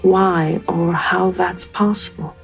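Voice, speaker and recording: A gravelly, composed counselor. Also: female voice, fairly low pitch, steady tone, slow pace, muffled recording. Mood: earnest. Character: composed adult woman counselor. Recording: played clip with faint music.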